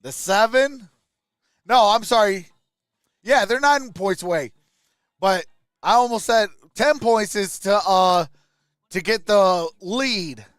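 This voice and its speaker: A very high-pitched male fan calls the game live on his stream at a slow, deliberate pace. He sounds close and dry, speaking as a partisan supporter and chatting with his viewers between plays. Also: fairly bright tone, clean tone, no room sound. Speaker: sports livestream host, fan commentator. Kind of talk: live fan reaction and play-by-play commentary.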